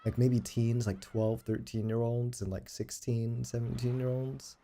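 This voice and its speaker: Male streamer, late 20s, low-key dark tone with vocal fry, loose sweary rambling, slight noise behind. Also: little pitch movement, unhurried. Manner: rambling, profane, self-deprecating. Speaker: game livestreamer. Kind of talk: casual livestream chat.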